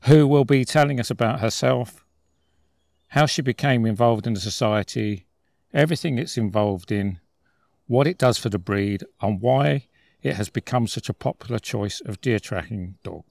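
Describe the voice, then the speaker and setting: A man's voice: medium pitch, moderate pace, vocal fry, a little mellow, dry recording. A man hosting a podcast interview outdoors in a forest, introducing his guest in a friendly, conversational way. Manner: friendly, conversational, some filler hedges. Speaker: podcast host.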